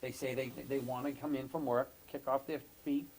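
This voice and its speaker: A man chairs a town board meeting, calling on members and moving through the agenda. He asks short, businesslike questions in a mid-pitched voice. Faint room noise hangs in the background. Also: level tone, darkish tone, low-quality recording. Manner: brisk, procedural questioning. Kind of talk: running a public meeting.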